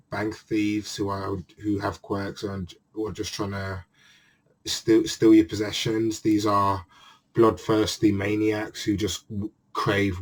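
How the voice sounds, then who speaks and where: A man hosting his own channel, reviewing a manga chapter in a chatty, earnest way and often restarting his phrases. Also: on the low side. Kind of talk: casual spoken review monologue.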